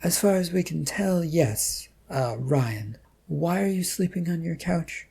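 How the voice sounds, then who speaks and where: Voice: mid-range voice, expressive, a little slow, gravelly, dark tone, a little hiss or hum, pitched above the performer's own voice. A narrator voicing a sensible, wry friend with a dry, patient, matter-of-fact way of talking. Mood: puzzled.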